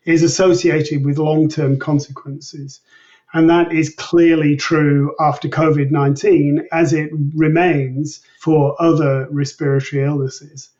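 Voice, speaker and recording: A man's voice: on the high side, darkish tone. A man giving careful, precise explanations as an expert guest in an interview. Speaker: interview guest, medical researcher. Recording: distant, dull, lo-fi remote line.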